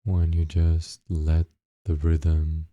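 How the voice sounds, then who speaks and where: A man leading a guided meditation, giving gentle, unhurried instructions with long pauses between short phrases. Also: extremely low pitch, completely flat delivery, no echo, lo-fi sound.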